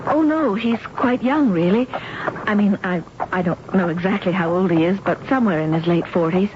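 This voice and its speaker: A guarded young woman in a radio play, performed by an actress, polite and quietly anxious. Her voice has a middle pitch, heard on a dull old recording with noticeable noise. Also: normal speed, close to an everyday voice. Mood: anxious, subdued. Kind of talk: radio drama acting and narration.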